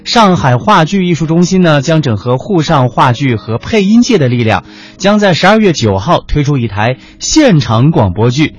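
A man co-hosting a radio programme in conversational mainland standard Mandarin, measured, with small pauses. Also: fairly high pitch, animated. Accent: mainland Chinese standard Mandarin. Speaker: radio host. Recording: slightly dull audio with music underneath. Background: background music.

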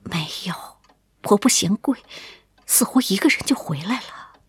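A young wife in a radio drama, anxious and pleading, with a stubborn streak. Her voice is hushed and very breathy, recorded close and dry. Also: mid-range voice, lively intonation, moderate pace, no echo, whispered. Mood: worried, hushed.

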